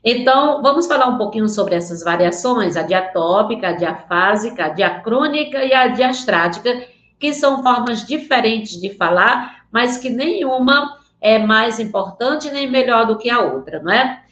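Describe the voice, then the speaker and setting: A woman's voice: on the high side, animated, moderate pace, fairly bright tone, reverberant, muffled recording. A woman teaching a Portuguese class online in a Northeastern Brazilian accent, speaking earnestly and often checking in with her students. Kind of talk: online class lecture with slides.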